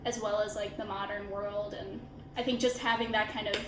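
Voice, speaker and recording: A woman's voice: mid-range voice, little pitch movement, fairly quick, reverberant, background noise. A woman in her twenties, an architecture student, speaking reflectively in a casual interview with many filler words. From India, raised in Chicago suburbs. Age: 20s.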